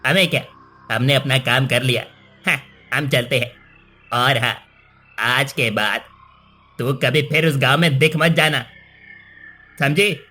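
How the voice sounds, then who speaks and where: A man's voice: mid-range voice, measured pace, pauses often, sharp tone, soft background music. A man voicing a menacing, mocking village henchman in a cartoon story, taunting and threatening. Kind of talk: cartoon moral story voice acting.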